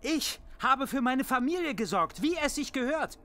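A man's voice: very high voice, lively intonation, moderate pace, pushed and bright, heavily acted. A man playing a middle-aged family father in a horror audio drama, defensive, scoffing and sarcastic. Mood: defensive, indignant. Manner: sarcastic, scoffing, self-justifying.

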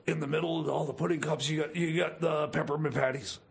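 Guy doing a flustered jailbird mechanic, super croaky high voice, keeps stammering and rambling, comedy radio bit. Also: fairly even intonation, fast talker. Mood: rambling, conspiratorial. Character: Jeb, rambling, flustered jailed male time machine mechanic. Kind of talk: improvised comedy radio theater.

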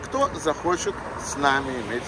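A man in his fifties, from Russia, talking as a local tour guide in a thoughtful, casual way with frequent "uh" pauses. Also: mid-range voice, very animated. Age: 50s. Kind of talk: casual guiding talk on a walk. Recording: street noise behind.

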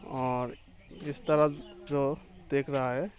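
A man's voice: mid-range voice, little pitch movement, slow pace, dull audio. A young man giving a plain, explanatory walking tour of a construction site outdoors, talking straight to his viewers. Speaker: construction vlogger. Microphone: phone held at arm's length.

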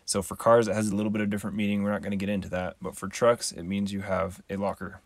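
A man, the host, giving a calm, methodical voice-over tutorial on a close mic. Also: fairly low pitch, no room sound. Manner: calm, methodical, measured explaining. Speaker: channel host.

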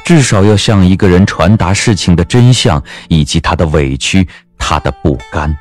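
A man narrating a scripted audio drama as an expressive storyteller. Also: sits low, normal speed, soft background music.

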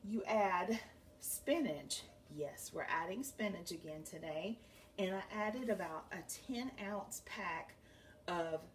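A middle-aged woman hosting a cooking demonstration in her home kitchen, chatty and homey as she stirs the pots. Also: mid-range voice. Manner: chatty and homey, talks while stirring pots. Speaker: cooking show host. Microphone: camera a few feet away. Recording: slightly distant camera mic, faint room noise.

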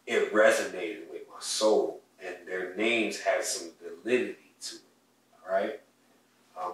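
A young man with a lowish voice tells heartfelt personal stories in a relaxed home chat. He sounds set back from the mic, and the room around him rings with echo. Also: recorded from a distance. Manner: earnest, heartfelt, speaks of his soul and ancestors. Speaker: podcast co-host. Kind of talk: casual conversational storytelling. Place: home living room, indoors. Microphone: distant mic on a stand, wide camera. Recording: echoey room audio picked up from afar.

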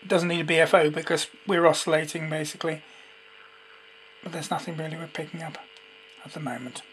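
A man's voice: fairly high pitch, fairly even intonation, brisk, a bit bright, slight background noise, poor audio. A man presenting a casual, unscripted hands-on demonstration, explaining as he goes with some hesitancy. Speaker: presenter demonstrating a homemade radio.

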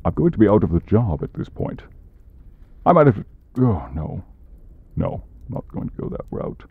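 A man playing a grumpy, exasperated demon who punishes people, curt and gruff, in an ASMR comedy roleplay. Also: lowish voice, sing-song, normal speed, phone-quality sound, exaggerated voice. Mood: desperate. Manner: gruff, curt, long-suffering.

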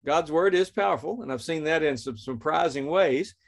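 A man's voice: a little high, normal speed, creaky. A man in his fifties hosting a faith podcast, telling a story and reading scripture in an earnest, plain way. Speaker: podcast host.

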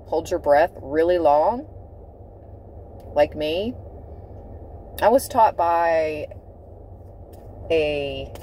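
A woman giving a tarot reading from inside a car, speaking in a slow, dramatic way and repeating key phrases for emphasis. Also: deep voice, warm tone, a little hiss or hum, rough recording. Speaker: tarot reader. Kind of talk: tarot card reading to camera.

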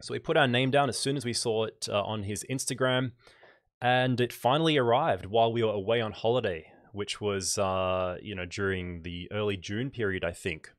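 A man with a fairly low voice, a little nasal and stuffed up from a cold. He chats in an unhurried, explanatory way as a livestream host. The sound is dry and close, from a microphone in a small home room. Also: no room sound. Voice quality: slightly nasal, congested. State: congested from a cold, scratchy throat. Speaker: photography channel host. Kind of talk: casual livestream book review. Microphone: large microphone close on a boom arm.

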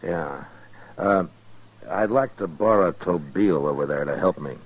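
A man playing a steady, self-assured frontier marshal, dry and matter-of-fact, in 1950s radio western style. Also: deep voice, a little slow, husky. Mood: determined. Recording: muffled, noisy old radio recording.

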